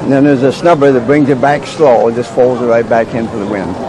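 An older man who builds wind turbines, calmly explaining how they work to people at an outdoor fair, with plenty of uh's. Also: medium pitch, a little fast. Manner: plain, matter-of-fact explaining with frequent uh. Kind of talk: explaining to fairgoers. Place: outdoor fairground. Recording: noisy outdoor camcorder audio.

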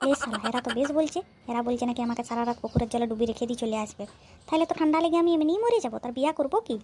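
A woman's voice: fairly high pitch, racing, very noisy, natural voice. A woman voicing a whiny hapless bridegroom. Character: hapless young village bridegroom.

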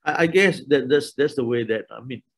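A man's voice: mid-range voice, fairly quick. A middle-aged man moderating an online panel discussion over a video call, talking with many restarts and hesitations. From Malaysia. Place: small room indoors.

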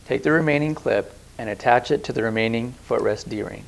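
Gravelly man giving calm instructions. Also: medium pitch, fairly quick. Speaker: product demonstrator. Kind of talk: scripted instructional voice-over.

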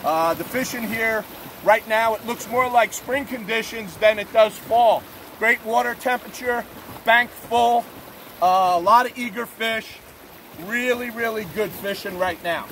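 A man in his forties giving a relaxed, plainspoken fishing report from a drift boat on a river. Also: sits high. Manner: relaxed, plainspoken, filler-heavy. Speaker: fishing guide. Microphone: camera mounted on the boat at arm's length. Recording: faint water and outdoor noise. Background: rushing river water.

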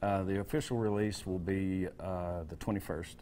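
A man in his thirties from Kentucky answers interview questions in a TV studio. His voice is very low, and he gives short, easygoing replies with small hesitations. He speaks into a stand mic. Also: fairly even intonation, normal speed, mellow, a little hiss or hum. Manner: brief, laid-back answers with hesitations. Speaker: musician guest.

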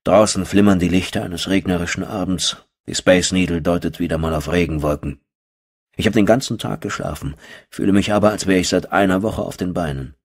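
A man's voice: sits low, a little fast. A man narrating a first-person horror audiobook as a storyteller.

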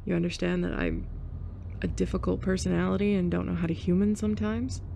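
A young woman in a scripted roleplay monologue, abrasive and sarcastic, with awkward soft laughs and sighs. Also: on the low side, steady tone, a little fast, vocal fry, a little hiss or hum. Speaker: ASMR roleplay performer.

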